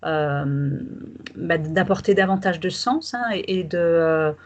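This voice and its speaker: A woman life coach interviewing a client over a video call, asking questions haltingly with many fillers. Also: lowish voice, darkish tone, dull audio. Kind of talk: conversational interview.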